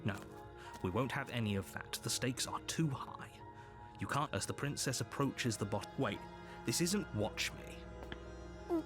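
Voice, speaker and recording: An actor playing a stern, sardonic game narrator with formal, deliberate delivery. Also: male voice, medium pitch, lively intonation, natural voice. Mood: alarmed, insistent. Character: stern, dry male narrator urging a killing. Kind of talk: scripted fairytale game narration. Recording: clear music bed behind.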